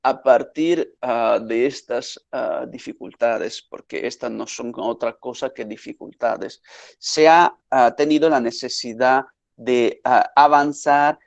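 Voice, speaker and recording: A man, a native Italian speaker with an Italian accent, lecturing off the cuff at a conference in a deliberate, emphatic way. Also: mid-range voice, steady tone, creaky, no echo. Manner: deliberate, emphatic, with rhetorical questions and repetitions. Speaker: academic giving a conference lecture. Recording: dull lo-fi audio.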